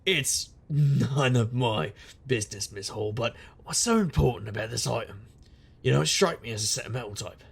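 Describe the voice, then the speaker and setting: An actor playing a wry, sardonic supernatural private investigator who narrates in conversational noir style. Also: male voice, mid-range voice, normal speed, vocal fry, breathy edge. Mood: dry, businesslike. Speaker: narrator and lead character. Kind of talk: noir first-person scripted narration.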